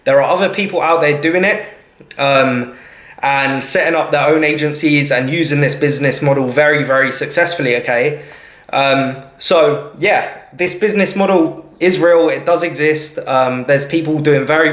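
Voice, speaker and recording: A man's voice: on the high side, fairly bright tone. A man talking informally to viewers as a vlogger, in a hesitant, reassuring way, often checking in at the end of his points. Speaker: vlogger and marketing agency owner. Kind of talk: informal talk to camera. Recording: echoey, reverberant room.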